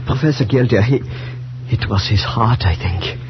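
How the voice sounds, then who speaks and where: An actor playing a distressed, deferential servant with a halting, stammering delivery, on a noisy 1940s radio recording. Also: male voice, mid-range voice, muffled recording, natural voice. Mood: shocked, halting. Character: distressed, deferential servant bringing terrible news.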